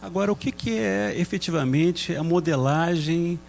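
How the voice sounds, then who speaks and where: A man's voice: a little high, normal speed, vocal fry, a little mellow, slight background noise. A man hosting a TV interview, asking short probing questions. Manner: asks short probing questions, brief backchannels. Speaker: TV interviewer host.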